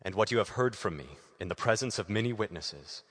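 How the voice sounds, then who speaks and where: A man with a smooth, silky voice reading scripture aloud in a church service. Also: on the low side, lively intonation, fairly quick, fairly bright tone. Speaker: scripture reader. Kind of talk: liturgical Bible reading. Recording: church hall reverb.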